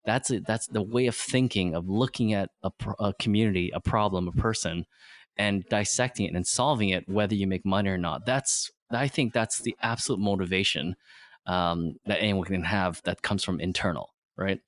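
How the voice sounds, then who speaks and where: A man's voice: deep voice, moderate pace, no echo. A man in his forties whose first language is Vietnamese, a podcast guest explaining ideas earnestly in simple phrases. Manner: earnest, simple phrasing, frequent "right?" tags. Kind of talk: motivational interview talk.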